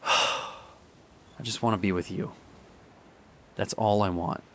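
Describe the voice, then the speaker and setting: A young man performing a radio drama monologue, halting and sighing as he pleads with an absent partner. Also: on the low side, fairly even intonation, fairly bright tone, slight background noise. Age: young adult. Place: beside the sea.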